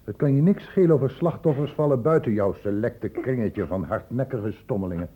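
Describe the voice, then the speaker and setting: A man's voice: mid-range voice, expressive, brisk, husky. A man playing a concerned, insistent doctor, stern but caring, in a Dutch radio drama. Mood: pressing, indignant. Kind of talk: Dutch radio play acting. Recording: muffled, phone-like old radio recording.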